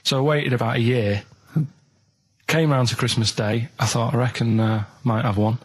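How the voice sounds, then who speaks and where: A man's voice: medium pitch, fairly even intonation, normal speed. A Northern English man from the Manchester area chatting casually on radio, telling rambling anecdotes in a matter-of-fact way and often checking in with "right?". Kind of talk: casual chat, rambling storytelling. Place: radio studio. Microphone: studio microphone.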